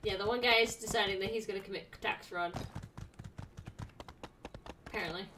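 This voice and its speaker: A woman's voice: mid-range voice, steady tone, pushed and bright, far from the mic, a little hiss or hum. Sarcastic young woman gaming streamer. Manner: sarcastic, blunt, quick reactions. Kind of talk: casual let's-play commentary. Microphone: desk mic, webcam.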